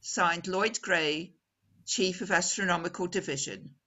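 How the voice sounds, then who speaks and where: A woman playing a poised, earnest radio news reporter in an old-time radio drama, speaking formally and deliberately with dramatic emphasis. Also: lowish voice, sharp tone, dull audio. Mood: formal, urgent.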